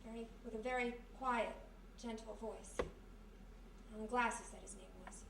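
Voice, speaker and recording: A woman with an American accent playing a quick-thinking, stubborn, slangy detective's sidekick in a 1940s-style radio mystery, speaking insistently. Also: fairly high pitch, fairly even intonation, natural voice. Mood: hushed, ominous. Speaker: actor. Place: live performance in a historic house. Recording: roomy, distant live recording with faint noise.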